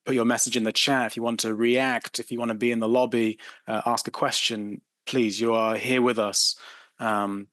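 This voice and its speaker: A man's voice: medium pitch, fairly bright tone, no room sound. A man hosting an online convention, inviting the audience in with loose, filler-laden speech. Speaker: host.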